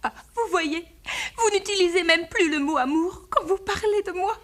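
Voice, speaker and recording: An actress playing a heartbroken young sweetheart in a cartoon, plaintive and gently reproachful. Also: female voice, very high voice, moderate pace. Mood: hurt, reproachful. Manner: plaintive, sorrowful. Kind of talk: French cartoon voice acting.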